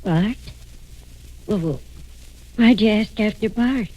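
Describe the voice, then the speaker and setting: An actress playing an anxious mother who pleads haltingly to protect her fugitive grown son, in 1940s radio drama style. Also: mid-range voice, mellow. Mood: distraught denial. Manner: halting, distressed, earnest pleading. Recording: dull lo-fi vintage radio recording.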